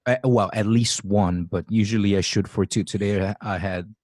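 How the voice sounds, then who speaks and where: A man's voice: lowish voice, fairly even intonation, normal speed, a little mellow, no echo, clean studio sound. A man in his forties hosting a podcast, talking loosely and rambling, often acting out his own thoughts. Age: 40s. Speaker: podcast host. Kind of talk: casual interview conversation.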